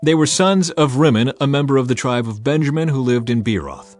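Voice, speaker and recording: A man narrating scripture as a dramatized audiobook, in a solemn, emphatic, clearly enunciated storytelling manner. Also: medium pitch, lively intonation, fairly quick, darkish tone. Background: background music.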